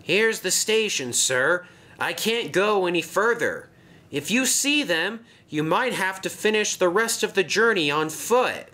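A man's voice: high-pitched, animated, measured pace, sharp tone, voice pushed up, heavily acted. A man voicing an eager, helpful young male tank engine with an earnest manner.

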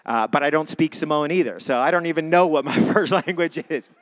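A man's voice: fairly high pitch, fairly quick, fairly bright tone. A man preaching a church sermon in an informal, conversational way, repeating phrases for emphasis. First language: not English (says English is not his first language).

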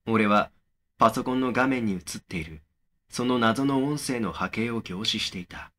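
A man playing a young man who narrates his eerie experience in a horror audio drama, measured and serious. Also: lowish voice, fairly bright tone, lightly acted. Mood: serious, suspenseful. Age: young adult. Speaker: first-person narrator of a horror story.

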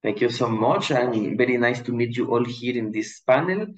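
A man in his thirties from Ecuador, giving a measured panel presentation over a video call, with frequent restarts. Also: medium pitch, animated, poor audio. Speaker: education manager, panelist. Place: indoor room. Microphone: video call webcam.

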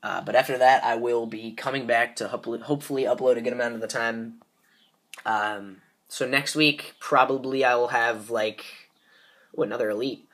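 A man's voice: medium pitch, steady tone, moderate pace, fairly bright tone. A teenage boy hosting a gaming channel, sick and stuffed up with a sore throat, rambling casually with lots of fillers while opening card packs. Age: teens. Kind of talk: casual pack-opening commentary.

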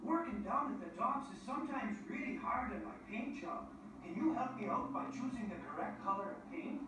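A woman's voice: fairly high pitch, expressive, normal speed, close to an everyday voice. A woman voicing a friendly, hardworking male dock engine who likes to tell stories. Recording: echoey and distant, with background noise.

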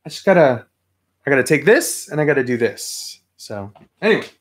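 A man in his thirties, getting over a cold, chatting casually to a live stream chat from a toy-filled room at home. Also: fairly high pitch. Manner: rambling, chatty, reads chat aloud. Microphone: webcam at desk.

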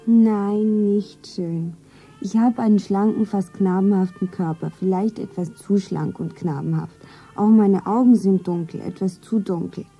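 Eine Schauspielerin spielt in einem Hörspiel eine junge, naive Verkäuferin, zärtlich und sentimental verliebt, mit weicher Stimme. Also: female voice, medium pitch, a little slow, warm tone, husky, muffled recording, faint music behind. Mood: wistful. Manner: naive, sentimental, self-narrating. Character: slender young shopgirl, tender, wistfully devoted lover. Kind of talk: German radio play acting.

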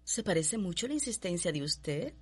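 An actress playing a proud, defiant young upper-class daughter in a Cuban radio drama, speaking with cold, formal irony and a Cuban accent. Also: female voice, lowish voice, big pitch swings, moderate pace, darkish tone, husky. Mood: haughty, defiant. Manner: haughty, formal, clipped irony.

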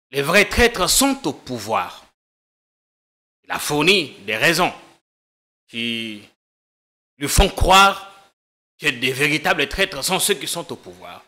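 A man in his thirties hosting a political talk show in a TV studio, questioning his guest briskly. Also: fairly high pitch, pushed and bright. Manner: brisk, probing interviewer. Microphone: desk microphone.

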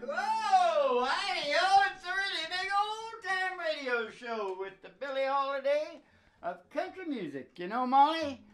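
A man's voice: very high-pitched, very animated, measured pace, fairly bright tone, soft background music. An older man hosting a live radio show, bantering in a casual, rambling, playful folksy way into a stand microphone. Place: small indoor stage at a live show.

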